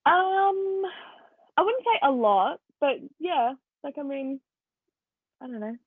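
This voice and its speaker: A young woman co-hosting a podcast, chatting casually with quick, giggly reactions. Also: sits high, lively intonation, no room sound. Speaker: podcast co-host. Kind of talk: casual chat. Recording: thin phone-like sound, dry.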